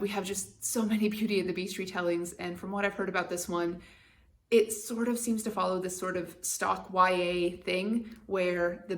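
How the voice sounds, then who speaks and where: Woman, young adult, book vlog host, candid, wry, opinionated. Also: mid-range voice. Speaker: BookTube channel host. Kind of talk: casual book tag vlog.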